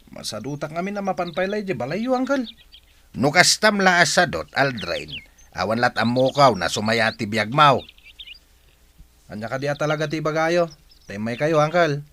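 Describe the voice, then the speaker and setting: Sharp, forceful village man. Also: mid-range voice, slight background noise. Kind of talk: Ilocano radio drama acting.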